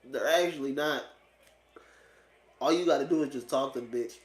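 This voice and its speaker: A man's voice: mid-range voice, normal speed. A man in his late twenties giving a blunt, slangy, profane opinion monologue, casually repeating phrases for emphasis. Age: late 20s. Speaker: vlogger giving dating opinions. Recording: echoey, roomy sound.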